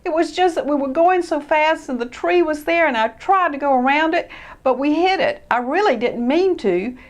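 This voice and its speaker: A woman's voice: high-pitched, sing-song, measured pace, pitched above the performer's own voice. A woman voicing a careless young boy mouse cousin who talks in a raised, defensive, insistent way.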